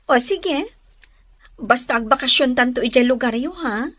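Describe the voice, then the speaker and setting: A woman's voice: high voice, expressive, normal speed, a bit bright, no echo. A woman playing a demanding young city girlfriend in an audio drama, coaxing and whining in exclamations.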